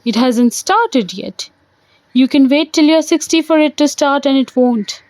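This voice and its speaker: A bitter ageing husband and dancer in an audio play, acted by a woman, speaking in a high voice. He is sardonic and brooding, posing scornful questions at a slow pace, in very dry, close sound. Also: a little mellow, no echo. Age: older.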